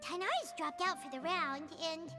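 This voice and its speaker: Squeaky, very high childlike companion. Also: female voice, animated, normal speed, over loud music. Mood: eager, curious. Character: chirpy, childlike little companion, upbeat and eager. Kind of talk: scripted video game dialogue.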